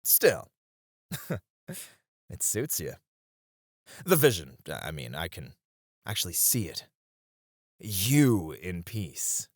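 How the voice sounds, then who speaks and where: A weary warrior with a soft heart , acted by a man for an intimate fantasy romance roleplay. He speaks slowly and wistfully, with a teasing edge and a very expressive, swinging melody. The sound is dry and intimate. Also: low voice, no room sound, exaggerated voice. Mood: gently teasing, warm. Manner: soft, wistful, with breathy chuckles and sighs. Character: weary young male warrior, tender, teasing sparring partner.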